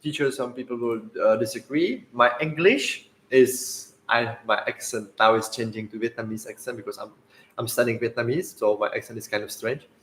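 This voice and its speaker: A Czech man chatting casually on a livestream in halting non-native English, often restarting his sentences. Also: medium pitch, a bit bright, echoey room, poor audio. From Czech Republic. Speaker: guest.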